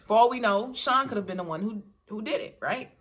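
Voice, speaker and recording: A woman's voice: medium pitch, brisk. A young woman hosting a mukbang, chatting casually with her husband and laughing often as she talks to viewers. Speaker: mukbang host. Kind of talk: casual chat.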